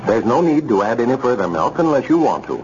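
Male vintage radio announcer, scripted sponsor pitch, lively intonation, muffled old broadcast sound. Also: quick pace. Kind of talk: 1930s radio advertising and scripted narration. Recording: muffled, noisy 1930s radio recording.

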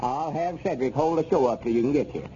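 A man's voice: mid-range voice, fast, warm tone, lightly acted. An actor playing a kindly older country man running a theater box office, with a rural Southern drawl and hesitant, homespun talk in a 1930s radio comedy serial. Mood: neighborly. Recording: dull, noisy 1930s radio recording.

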